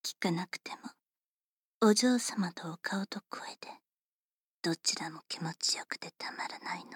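A woman performs a formal female servant who teases her young mistress, whispering breathily and very slowly right at the microphone. Her voice sits a little low and stays polite and measured throughout. The sound is dry and close, with no room around it. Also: slightly rough, dry recording. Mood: teasing whisper. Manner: formal, politely teasing, unhurried. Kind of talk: whispered ASMR roleplay.

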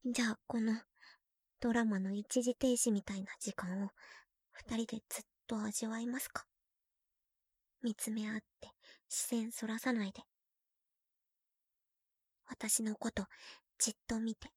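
A young woman playing a teasing, shy girlfriend who is junior to the listener, in an ASMR roleplay, talking playfully and dropping into soft whispers. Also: fairly high pitch, unhurried, long pauses, breathy edge, no echo, studio quality. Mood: whispering tender.

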